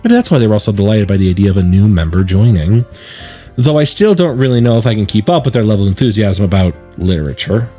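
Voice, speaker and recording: A man's voice: fairly low pitch, expressive, fast talker, warm tone, faint music behind. A man voicing a curious, slightly unsure teenage schoolboy in a visual novel, casual and questioning. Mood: musing. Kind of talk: reading visual novel dialogue and inner thoughts.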